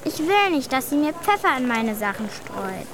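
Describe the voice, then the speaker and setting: A woman's voice: high voice, big pitch swings, normal speed, background noise. A woman playing a plucky, stubborn young girl with a childlike, plain-spoken manner in a German children's radio play. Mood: earnest, pleading.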